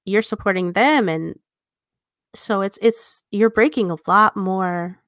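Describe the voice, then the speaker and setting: A woman's voice: mid-range voice, measured pace, a bit bright, no echo. Woman in earnest podcast chat, dry sound. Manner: earnest, conversational, poses rhetorical questions. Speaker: podcast co-host. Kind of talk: casual explanatory discussion.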